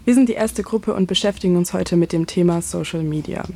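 A woman's voice: on the low side, brisk, mellow. An eighteen-year-old woman reading scripted moderation as a student radio presenter and asking her classmates questions. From Germany. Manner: reads from script, poses questions.

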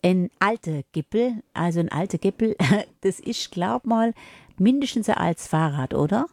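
Upper Swabian woman, mellow voice. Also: mid-range voice, animated, moderate pace, gravelly, dry recording, clean studio sound. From Upper Swabia, Germany. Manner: hesitant, many fillers and restarts. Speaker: guest. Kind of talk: casual interview chat.

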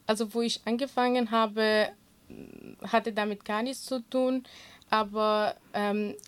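A woman's voice: a little high, little pitch movement, takes it slowly, clear, resonant tone. A woman whose first language is Kurdish, giving earnest, conversational interview answers in non-native German as a guest. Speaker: interview guest, swimming teacher and social media creator.

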